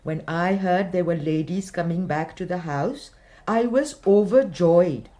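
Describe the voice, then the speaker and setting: An actress playing a blunt, nostalgic housekeeper in a radio drama, grumbling and outspoken. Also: female voice, on the low side, measured pace, warm tone, natural voice.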